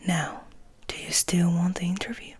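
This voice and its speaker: Woman whispering as a gentle, breathy male vampire. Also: lowish voice, little pitch movement. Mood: gentle, confiding. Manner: soft, confiding, measured whisper. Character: gentle, composed, wistful male vampire telling his story.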